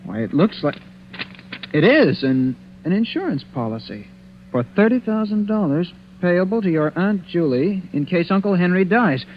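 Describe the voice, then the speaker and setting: A man's voice: high voice, big pitch swings, normal speed. A man acting a concerned, practical young husband, earnest and a little hesitant, in an old 1940s-style radio drama. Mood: uneasy, worried. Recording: muffled, faintly noisy old radio recording.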